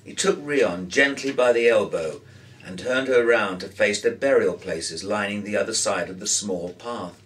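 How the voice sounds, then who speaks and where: Male actor for expressive novel narration, recorded a little way from the mic. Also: lowish voice, normal speed, recorded from a distance, rough recording. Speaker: actor reading a novel aloud.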